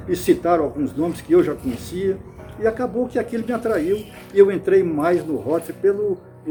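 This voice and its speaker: An older man from Bahia, Brazil, recalling his past in an interview, unhurried and often restarting or repeating words. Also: fairly high pitch, dark tone. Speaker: interviewee, club founder. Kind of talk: reminiscing interview.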